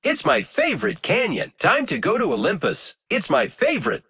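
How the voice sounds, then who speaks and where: A man's voice: high-pitched, huge pitch swings, moderate pace, vocal fry, fairly bright tone, husky, full character voice. A man voicing a naive, friendly robot in a video game, with an innocent, upbeat manner. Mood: cheerful. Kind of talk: video game character lines.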